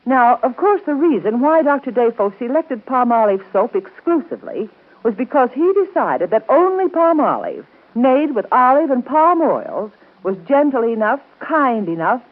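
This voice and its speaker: A thin, band-limited vintage broadcast carries a woman as commercial spokesperson. She reads in scripted 1930s advertising style, emphatic and persuasive, with a very lively rise and fall to her voice. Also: a little high, moderate pace, narrow, tinny audio. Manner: emphatic, persuasive direct address.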